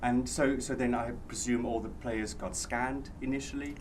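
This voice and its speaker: A man's voice: mid-range voice, fairly even intonation, moderate pace, darkish tone, slight background noise. A man hosting an onstage interview at an event, asking questions in an effusive, enthusiastic way.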